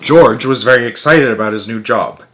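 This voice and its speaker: A man's voice: mid-range voice, moderate pace, poor audio. A man reading a story aloud expressively, dropping in muttered asides of his own. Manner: expressive, with emphatic character lines and muttered asides.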